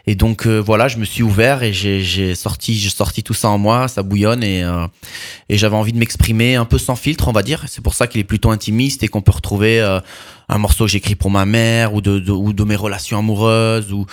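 A man, a musician guest in a radio interview, talking reflectively about his work and pausing to find his words. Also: fairly low pitch, fairly even intonation. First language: French.

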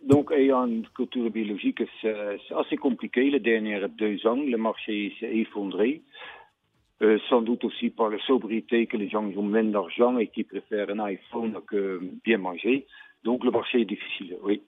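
An older man farmer from the Netherlands with a Dutch accent, speaking plainly and emphatically in a radio interview, pausing often with fillers. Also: on the low side, fairly even intonation, no room sound. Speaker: fruit farmer. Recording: tinny phone-like radio line.

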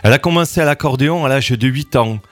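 A male radio host speaking casually in a studio, stopping often to search for words with fillers and restarts. His voice sits at a middle pitch for a man. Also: fast talker, soft background music. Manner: hesitant, many fillers and restarts.

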